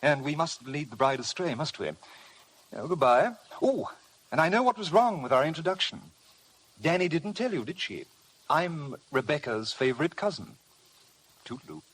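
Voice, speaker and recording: An actor playing an insolent, flippant cad in a mocking, mannered 1950s radio drama style. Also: male voice, fairly high pitch, big pitch swings, normal speed, a bit bright, slightly rough, full character voice, rough recording. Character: breezy, insolent, smirking cad of a cousin.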